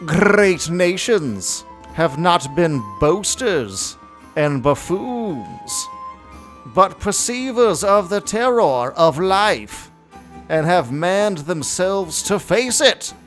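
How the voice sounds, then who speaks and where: A man voicing a solemn priest reading a grave eulogy aloud in a slow, declamatory way. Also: high-pitched, lively intonation, a bit bright, soft background music, pitched above the performer's own voice, full character voice. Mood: solemn, grand. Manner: drawn-out, declamatory.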